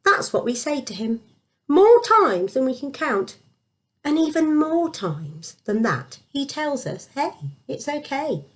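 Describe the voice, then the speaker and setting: A woman reading a scripted Bible story for children, with deliberate emphasis. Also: high voice, sing-song.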